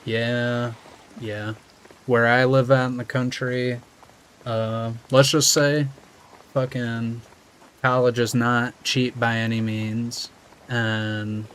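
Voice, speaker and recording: A young American man, just out of high school, chatting casually and rambling with viewers while he plays. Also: level tone, extremely slow, long pauses, a bit bright, a little hiss or hum, low-quality recording. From United States. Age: young adult. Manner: laid-back, rambling, slangy. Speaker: streamer. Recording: music playing behind.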